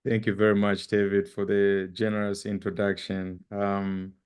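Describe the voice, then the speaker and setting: A man with a Zambian accent giving an informal presentation as a guest speaker, speaking haltingly with repeated words and frequent pauses. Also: fairly low pitch, level tone, darkish tone, clean tone. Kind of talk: informal presentation with personal introduction.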